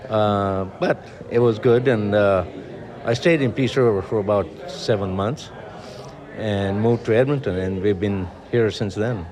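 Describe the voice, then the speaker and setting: A man's voice: lowish voice, a little slow. A man in his seventies from Tanzania, an accountant, telling chatty, self-mocking anecdotes. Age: 70s. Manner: chatty, jokey, laughs at himself. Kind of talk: casual anecdotes. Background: crowd chatter.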